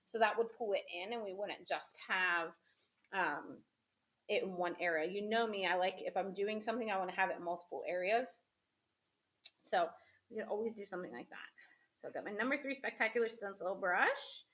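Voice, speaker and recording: A woman's voice: medium pitch, brisk, a bit bright. A woman hosting a crafting demo, chatting casually to viewers as she paints, a little sniffly from a cold. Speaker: craft host.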